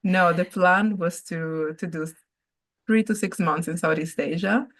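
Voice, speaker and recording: A woman from Italy, Italian her first language, talking in English as a podcast guest. Her voice sits very low with a gravelly, fry-tinged edge. She chats in a relaxed, open way and laughs easily. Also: unhurried. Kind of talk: casual conversational interview.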